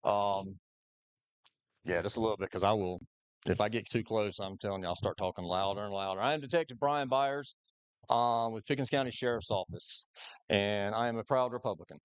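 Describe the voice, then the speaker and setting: A man gives an informal talk to an audience in a loud, chatty voice, joking as he goes. His tone is smooth and clear, and the sound is dry with little room echo. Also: fairly low pitch, brisk. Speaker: sheriff's detective presenting on scams. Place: community meeting hall.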